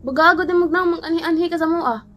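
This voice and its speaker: Woman playing scolding mother, high voice, upset, Cebuano accent, radio drama, soft music behind. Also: steady tone, fast. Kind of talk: amateur radio drama acting.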